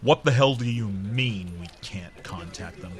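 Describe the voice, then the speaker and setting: A man plays a gruff space station commander in a sci-fi audio drama. His voice is on the low side, and he is curt and impatient, demanding answers from subordinates. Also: a little slow, natural voice. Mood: irritated. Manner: curt, demanding, barked questions.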